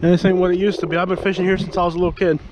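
A man with a high-pitched voice talks casually while fishing by the sea. His manner is slangy and grumbling. Clear wind and water noise runs behind him on an outdoor action-camera recording. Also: little pitch movement, racing, lo-fi sound. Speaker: fishing vlogger. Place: outdoors in a canoe and on shore at a coastal pass.

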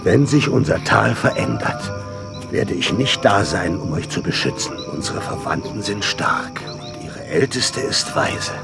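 An old, ailing dinosaur grandfather in a children's radio play. The voice is low and very gravelly, swinging expressively as he pleads tenderly, with music behind him. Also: big pitch swings, a little slow, exaggerated voice. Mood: weak, pleading. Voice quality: gravelly, weakened. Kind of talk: children's audio drama acting.

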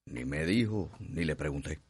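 An actor playing a proud, lovelorn enslaved stable hand in a radio soap opera. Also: male voice, a bass voice, normal speed, a little mellow, husky. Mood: guarded.

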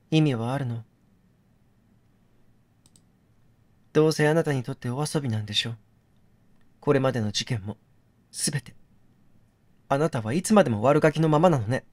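A man's voice: medium pitch, quick pace, long pauses, a little mellow, dry recording, lightly acted. A man voicing a bitter, defiant woman held hostage in a game, speaking with reproachful, knowing feminine phrasing. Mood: scornful, resigned.

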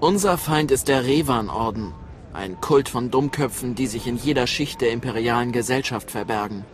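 A man playing a proud, grave Sith apprentice recruiter in a German game dub, formal and measured. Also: medium pitch, close to an everyday voice. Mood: scornful, instructing.

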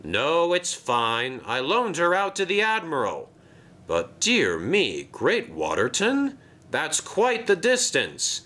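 A man's voice: fairly high pitch, wildly swooping pitch, sharp tone, pitched above the performer's own voice, heavily acted. A man doing a fussy, commanding railway boss. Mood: formal. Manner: formal, brisk. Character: stout fussy male railway director, commanding.

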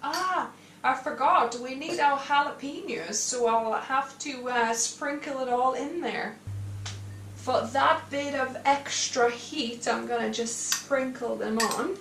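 A woman's voice: sits high, takes it slowly, a bit bright. A woman in her thirties giving a casual cooking demonstration as host. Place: indoor home kitchen. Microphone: clipped lapel microphone. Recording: echoey room, slightly distant, faint noise.

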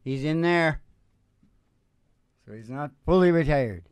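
An older man hosting a live show, chatting casually and haltingly between songs in a folksy, joking way. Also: on the high side, lively intonation, clear, resonant tone, no room sound. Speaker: host and musician of a live radio show. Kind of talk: casual rambling stage chat between songs. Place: small indoor stage with curtain backdrop. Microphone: stage microphone on a stand.

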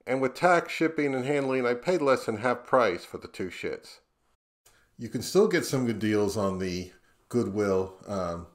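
A middle-aged American man narrating a casual vlog in a wry, conversational way. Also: medium pitch. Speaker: audio gear YouTuber.

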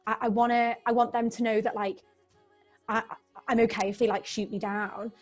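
A woman's voice: fairly high pitch, flat delivery, moderate pace, faint music behind. A young British woman hosting an interview over a video call, asking long rambling questions with many fillers. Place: home room indoors. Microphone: video call webcam.

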